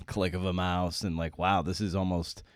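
A man's voice: deep voice, flat delivery, moderate pace, no echo, studio quality. A man hosting an interview podcast, talking in an informal, reactive, conversational way.

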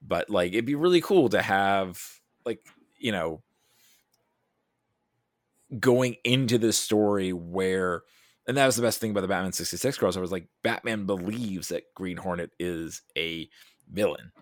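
Deep-voiced man, rambling and emphatic. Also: moderate pace, no echo, studio quality. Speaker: podcast guest, writer. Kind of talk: casual podcast discussion.

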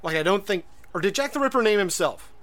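A man's voice: high-pitched, animated, fast, a bit bright. A man co-hosting a podcast in casual chat, rambling and hesitant, full of fillers and restarts. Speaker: podcast co-host.

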